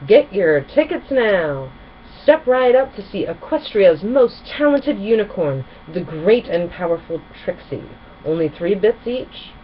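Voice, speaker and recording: A woman voicing a brash, scheming female griffin who shouts like a carnival barker in a storybook. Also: medium pitch, big pitch swings, measured pace, dull audio, slight background noise. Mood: brash hawking. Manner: loud carnival barker delivery.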